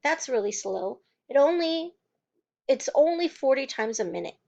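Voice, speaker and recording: A woman voicing a bright, distressed little girl of about five, with a high, breathless, pleading way of crying out. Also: very animated, normal speed, gravelly, exaggerated voice. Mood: curious. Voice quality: high and breathless when upset. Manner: pleading, urgent cries.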